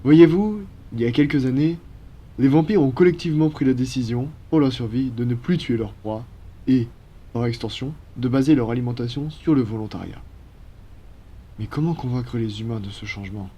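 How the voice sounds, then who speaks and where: A man's voice: mid-range voice, dark tone, close to an everyday voice. A man voicing a courteous, apologetic vampire in an ASMR roleplay, speaking formally and politely. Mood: explanatory, earnest. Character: courteous, apologetic male vampire from a blood-donation foundation.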